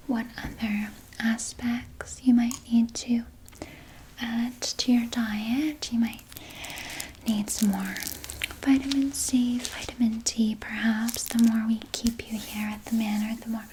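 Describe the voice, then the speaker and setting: A woman performs a sinister vampire nurse in a whispered ASMR roleplay. Her soft, breathy voice sits fairly high and moves very slowly, coaxing the listener with false comfort. A faint hiss lies behind the whisper. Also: rough recording. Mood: hungry, restrained. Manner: slow, coaxing, falsely reassuring, close personal address. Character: sinister, soothing female vampire nurse tending her captive patient.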